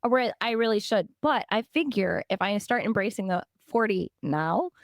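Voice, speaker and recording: A woman in her late thirties, co-hosting a casual podcast chat, her voice hoarse from a recent strain, telling playful stories and laughing often. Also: mid-range voice, expressive, moderate pace, dry recording, studio quality. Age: 30s. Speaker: podcast co-host.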